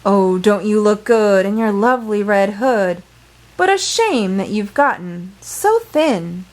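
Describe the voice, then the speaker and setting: A woman's voice: medium pitch, lively intonation, slow pace. A woman voicing a sly, hungry male wolf who pretends to be a sweet grandmother in a storybook, coaxing and falsely sweet.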